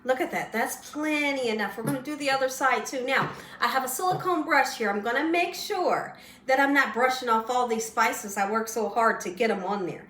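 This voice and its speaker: A slightly hoarse woman with a fairly high voice walks viewers through a recipe in a chatty, folksy way, often checking in with "right?". She sounds a little away from the mic in an echoey kitchen, with faint background hiss. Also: normal speed, a bit bright, far from the mic. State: slightly hoarse, losing her voice. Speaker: cooking show host. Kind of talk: casual cooking demonstration. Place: home kitchen.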